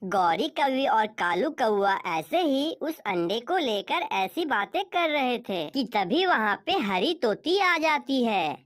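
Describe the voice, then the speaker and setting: A woman's voice: a little high, sing-song, slow pace, hardly any pauses, dry recording, clean studio sound. A woman narrating a scripted children's story as its storyteller.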